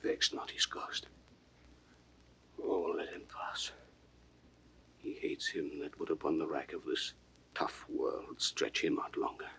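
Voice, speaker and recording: An actor playing a loyal, grieving nobleman in a classical Shakespeare audio drama, solemn and often hushed. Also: extremely low pitch, steady tone, slow pace, long pauses, whispered, heavily acted. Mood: broken sorrow. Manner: hushed, solemn, breathy declamation. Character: loyal grieving nobleman, devoted servant to the king. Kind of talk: 1960s recorded Shakespeare drama, classical stage acting.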